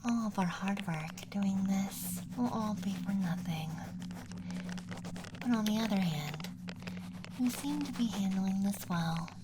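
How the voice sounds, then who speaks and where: A performer voicing a caring, apologetic alien examiner in a soft, breathy, reassuring ASMR whisper close to the microphone. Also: mid-range voice, lively intonation, heavy background noise, close to an everyday voice. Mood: soothing, apologetic. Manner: gentle, slow, reassuring. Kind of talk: ASMR roleplay.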